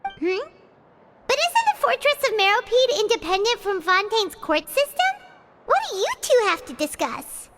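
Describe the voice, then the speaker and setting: A woman voicing a tiny, chatty, excitable fairy-like companion in an anime game, squeaky and childlike, exclaiming often. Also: normal speed, heavy background noise. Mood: curious. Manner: refers to self in third person, exclamatory. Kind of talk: English anime game voice acting. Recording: game dialogue over background music.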